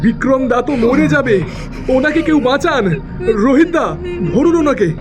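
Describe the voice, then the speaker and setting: A man's voice: very high voice, animated, unhurried. A man playing an alarmed young character in an audio thriller, urgent and pleading. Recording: music behind the voice.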